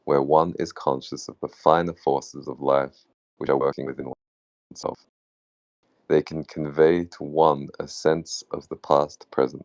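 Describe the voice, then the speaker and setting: A man reads a spiritual text aloud in an extremely deep voice. It is heavy with vocal fry and recorded very dry. His phrasing is measured and deliberate. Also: a little mellow, no echo. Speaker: participant reading in a book club.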